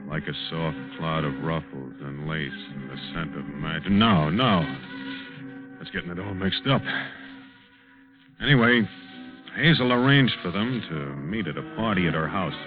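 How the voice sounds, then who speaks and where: Deep-voiced brooding lonely man played by an actor, old radio. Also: normal speed, lightly acted. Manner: hesitant, brooding, plain-spoken. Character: quiet, lonely working man haunted by his past. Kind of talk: 1940s radio drama acting and narration. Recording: muffled, narrow-band old radio broadcast, organ music.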